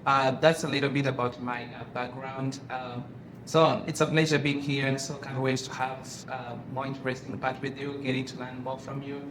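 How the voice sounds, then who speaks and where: A man's voice: medium pitch, flat delivery, moderate pace. A man from Africa, introducing himself to students in a friendly, hesitant way. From East Africa. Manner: friendly, hesitant, filler-heavy. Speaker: company ambassador on a panel. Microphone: handheld microphone. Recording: echoey room with clear noise.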